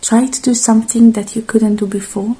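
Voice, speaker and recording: A woman speaking softly and gently in a halting, reassuring ASMR roleplay, slipping between quiet voice and whisper. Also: a little high, steady tone, slightly rough. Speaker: ASMR performer playing a robot repair technician.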